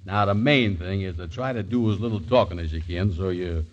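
A man's voice: low voice, fairly quick, muffled recording, lightly acted. A man playing a pompous, bumbling schemer who stammers his way out of trouble, in a 1940s radio comedy. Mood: blustering. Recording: noisy old radio broadcast.

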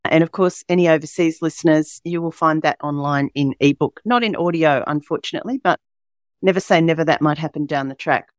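An Australian woman hosts a podcast in a chatty, rambling way, her voice croaky and a little hoarse. The sound is dry and close, with no room around it. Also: low-pitched, brisk, dry recording. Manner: chatty and upbeat, rambling asides. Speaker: podcast host. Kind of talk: casual podcast chat.

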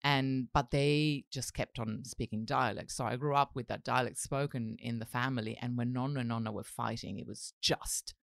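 A woman, chatting as an interview guest, animated and laughing often with many restarts. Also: rumbling low, normal speed, vocal fry, few pauses, no room sound, studio quality. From Italy (Milan). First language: Italian. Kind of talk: casual interview chat.

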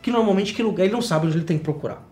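A man's voice: high voice, very fast, a little mellow. A man hosting an interview, asking long, rambling questions. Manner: asks long rambling questions, frequent tag "né".

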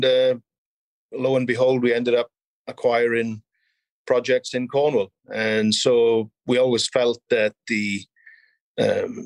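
A man in his fifties with a Welsh accent, speaking as an interview guest in a measured, explanatory way. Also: medium pitch, no echo. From South Wales. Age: 50s. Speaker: podcast guest, mining company chief executive and geologist. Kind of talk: conversational interview, recounting career.

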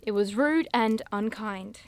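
A woman's voice: mid-range voice, slow, no room sound. A woman playing a curious, tactless young investigator in an audio drama, earnest and faltering as she talks. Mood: flustered, apologetic.

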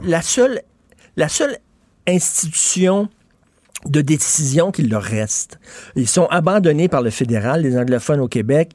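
A male radio host with a Quebec French accent talks in a casual, colloquial way, often stopping and restarting his sentences. His voice sits a little high. The studio sound is dry and close. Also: darkish tone, no room sound. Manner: conversational, halting, colloquial. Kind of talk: radio debate chat.

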